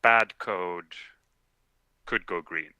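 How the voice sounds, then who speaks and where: A man's voice: sits low, extremely slow, bright, forceful tone, clean tone, no echo. A man chatting casually while pair programming, laconic and wry in his remarks. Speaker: programmer co-hosting a live coding session.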